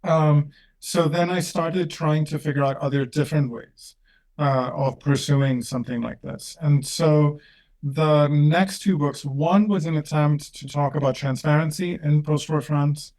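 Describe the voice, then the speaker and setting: Man from Greece, academic guest, somewhat higher voice, measured, hesitant. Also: steady tone, darkish tone. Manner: thoughtful, hesitant, many fillers and restarts. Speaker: professor and author, guest. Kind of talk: interview conversation.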